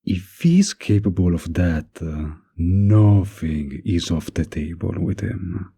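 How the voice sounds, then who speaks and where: A man playing a mafia boss in an intimate roleplay audio, menacing yet kindly, with an unhurried, lightly teasing, flirtatious manner and a gravelly, husky voice. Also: deep voice, lively intonation, mellow, heavily acted. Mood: firm, reassuring.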